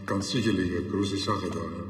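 A man's voice: low voice, steady tone, moderate pace, roomy sound, in a large hall, faint music behind, lightly acted. An actor playing an earnest, fussy village policeman with a Bavarian accent in a TV crime comedy.

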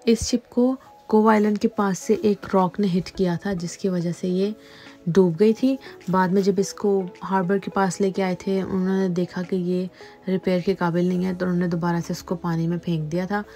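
Lady doing a chill travel vlog voice-over, kinda low, mellow tone, music under it. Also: moderate pace. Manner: calm explanatory voice-over, mixing in English phrases. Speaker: travel vlogger. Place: outdoors on a tour boat on a lake.

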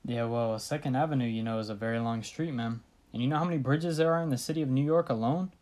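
A woman's voice: very deep voice, fast talker, darkish tone. A woman playing a bored, brusque police desk sergeant in an old-style radio thriller. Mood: dismissive. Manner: brusque, placating, weary.

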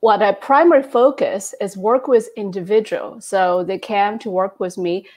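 Soft-spoken woman with a Chinese accent. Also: medium pitch. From China. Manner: warm, measured, frequent soft laughs. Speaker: guest, intimacy coach. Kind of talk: video-call interview. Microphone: wired earbud headset on a video call.

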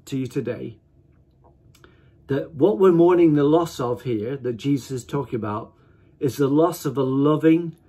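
Husky middle-aged male preacher. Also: medium pitch, a little mellow. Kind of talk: informal sermon to camera. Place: small attic room at home, indoors.

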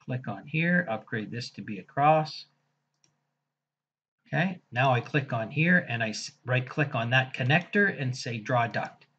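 Husky man walking through software steps. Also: medium pitch, lively intonation, unhurried, lo-fi sound. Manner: casual, thinking aloud while clicking through steps. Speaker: software instructor. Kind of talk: screen-recorded software tutorial.

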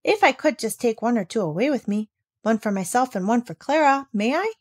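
A woman reading aloud voices an eager young girl who speaks brightly and pleadingly. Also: a little high, animated, fairly quick, slightly rough, pitched above the performer's own voice.

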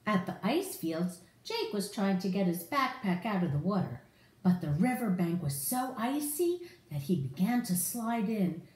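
Animated woman reading to children, roomy sound. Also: mid-range voice, measured pace. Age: middle-aged. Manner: animated, addressing children directly. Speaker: storyteller for children. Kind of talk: expressive read-aloud of a picture book to children. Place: room in a home, indoors.

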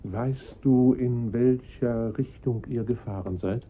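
An actor playing a calm, methodical police inspector in a radio crime drama. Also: male voice, mid-range voice, takes it slowly, natural voice. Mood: patient, probing. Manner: sober, methodical questioning. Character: calm, measured police inspector, adult man. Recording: muffled, noisy radio recording.

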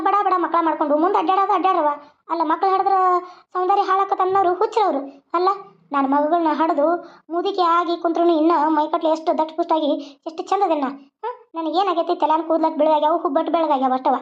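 A woman's voice: very high voice, steady tone, quick pace, dull audio. Woman doing a blunt old village granny with a North Karnataka accent. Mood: boastful. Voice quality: scolding, lecturing, rustic.

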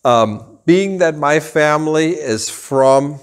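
Slow, deliberate man explaining to camera. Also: on the high side, animated, clear, resonant tone. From Germany. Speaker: rug dealer and channel host. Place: rug showroom indoors. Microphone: camera at a few meters, full body framing.

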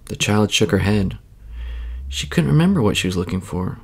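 A man reads a children's bedtime story aloud. His voice is creaky, and his delivery is measured and expressive. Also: on the low side.

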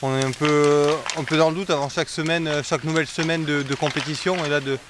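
A young man athlete talking in a post-race interview outdoors at a snowy venue, measured and pausing often to find his words. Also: fairly high pitch, fairly even intonation. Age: young adult. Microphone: camera mic close to the face. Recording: outdoor noise in the background.

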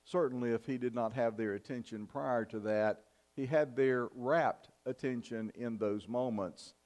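An older man preaching a sermon in a church, in a measured, earnest style with emphatic pauses. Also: medium pitch, darkish tone. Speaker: pastor. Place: church sanctuary, at the pulpit. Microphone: fixed camera from the back of the church.

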